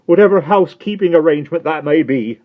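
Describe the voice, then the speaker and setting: A man voicing a frantic, tearful middle-aged English spinster in a high, shrill, fluttering voice that sounds near tears. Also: expressive, quick pace, phone-quality sound, lightly acted. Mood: distraught.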